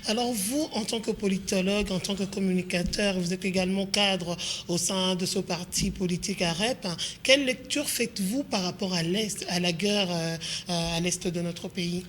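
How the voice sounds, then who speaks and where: A woman's voice: medium pitch, normal speed, hardly any pauses, a little hiss or hum. A woman with a Congolese accent hosting a political interview and putting questions to her guest. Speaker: interviewer, host.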